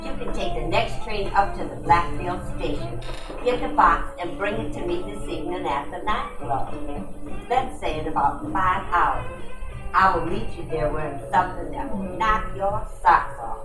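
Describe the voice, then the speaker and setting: A flirtatious mystery woman played by an actress in a 1940s-style detective radio play. Her voice is on the low side and secretive, heard at a distance in an echoey room over music. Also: moderate pace, close to an everyday voice. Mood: coaxing, teasing. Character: flirtatious, secretive femme fatale client.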